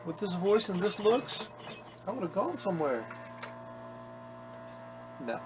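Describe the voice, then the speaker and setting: A man's voice: high-pitched, big pitch swings, a little slow. Joking man chatting in a workshop. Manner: chatty, joking, self-mocking. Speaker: leatherworker. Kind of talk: casual workshop narration. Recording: workshop noise behind the voice.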